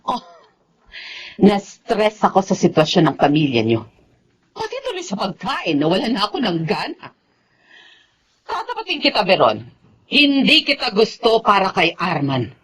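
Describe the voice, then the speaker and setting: A woman's voice: mid-range voice, wildly swooping pitch, normal speed, long pauses, a bit bright, breathy edge, heavily acted. An actress playing a haughty, blunt, disapproving middle-aged mother in a radio drama. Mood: disdainful, cold. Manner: snooty, blunt. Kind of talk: Filipino radio drama acting.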